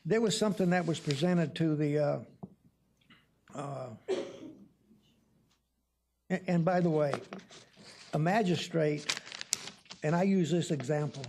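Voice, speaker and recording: A man with a stutter questioning bluntly and argumentatively as a council member at a public meeting, repeating himself haltingly. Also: a little high, a little mellow. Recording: noisy public meeting room recording.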